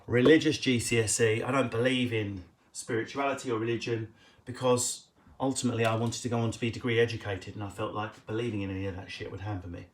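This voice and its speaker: A man with an Essex accent doing casual improvised stand-up as he answers viewers' questions on a live stream from home, cheeky and quick, with loud bursts and muttered asides. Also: fairly low pitch, steady tone. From England. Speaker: comedian hosting a live Q&A stream. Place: at home, indoors.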